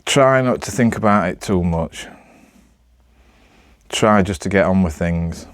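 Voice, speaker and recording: A man's voice: low voice, a little slow, dry recording, clean studio sound. A man with a Northern English accent chatting casually to camera, rambling in a hesitant, self-deprecating way. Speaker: amateur vlogger.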